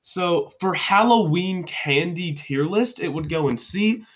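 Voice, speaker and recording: A man's voice: sits high, unhurried. A congested young man giving a joking, rambling rundown of candy rankings into his webcam at home. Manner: joking, rambling, self-correcting. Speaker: vlogger. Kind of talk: casual ranking commentary.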